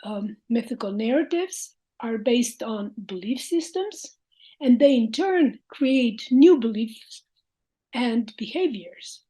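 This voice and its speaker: Slow, measured Slovene-accented woman lecturer. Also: a little high, animated, dark tone, poor audio. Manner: slow, measured and reflective, with hesitant fillers. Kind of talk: academic lecture.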